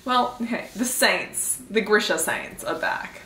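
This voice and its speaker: Young female vlogger, excitable and conversational, mid-pitched, recorded in a roomy echo. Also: measured pace, a little hiss or hum. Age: young adult. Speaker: book vlogger. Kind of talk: casual book discussion chat. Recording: echoey untreated room.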